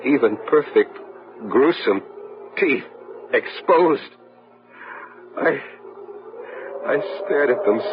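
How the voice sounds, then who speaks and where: An actor playing a tormented man consumed by fear and madness, halting and repeating himself in an old-time radio horror drama. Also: medium pitch, noisy background, lightly acted. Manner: halting, repeating words in dread. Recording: muffled old radio recording, music behind.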